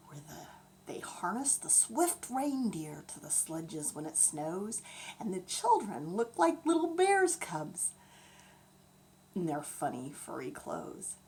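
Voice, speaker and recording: Hushed, very expressive older woman reading bedtime stories. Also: on the low side, creaky, a little hiss or hum. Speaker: bedtime storyteller. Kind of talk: bedtime story and poem recitation for children.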